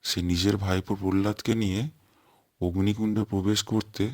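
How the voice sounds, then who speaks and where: A man playing a kindly old grandfather who tells legends slowly and patiently in a cartoon. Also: deep voice, flat delivery, a little mellow, close to an everyday voice. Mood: patient storytelling. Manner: slow, explanatory storytelling.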